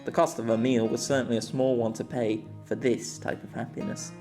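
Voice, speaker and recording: A man reading a story aloud as an audiobook narrator, in an expressive scripted style. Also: mid-range voice, a little fast, soft background music.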